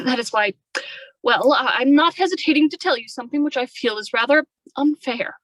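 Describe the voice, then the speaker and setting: An actress playing a strong-willed, politely persuasive young wife in an old-time radio drama, with a confiding, insistent manner. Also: female voice, high-pitched, normal speed, a bit bright, poor audio.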